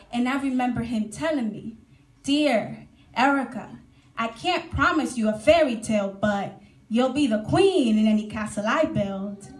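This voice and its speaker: A young woman reciting rhyming spoken word testimony at a church service in a dramatic, measured way. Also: a little high. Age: young adult. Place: church hall during a live service. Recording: echoey live church recording.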